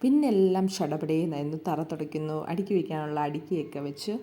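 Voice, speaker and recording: A woman's voice: low voice, fairly quick, dark tone. Malayali woman narrating a casual daily vlog. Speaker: vlogger.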